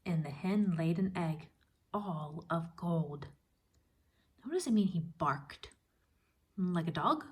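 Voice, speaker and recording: Husky, low-voiced woman reading to children. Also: slow pace, long pauses. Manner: expressive, explanatory, asking questions. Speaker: first grade teacher. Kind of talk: children's storybook read-aloud with teaching asides.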